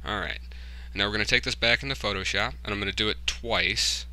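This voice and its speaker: A man giving a casual screen-recorded software tutorial, informally thinking aloud and muttering to himself as he works. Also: fairly low pitch, brisk, rough recording. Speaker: tutorial host, hobbyist game developer.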